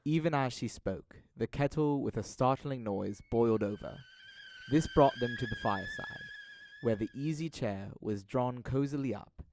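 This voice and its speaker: A man's voice: medium pitch, a little mellow. A young man reading scripted dramatic narration of a classic tale, as narrator of an audio drama. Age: young adult.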